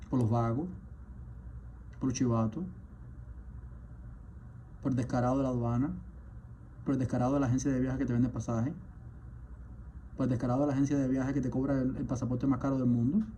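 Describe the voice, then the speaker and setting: A man, a physician, delivering an impassioned spoken message, indignant and firing off rhetorical questions, at times surging louder. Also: mid-range voice. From Cuba. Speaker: physician, rheumatologist. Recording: dull lo-fi sound with faint background noise.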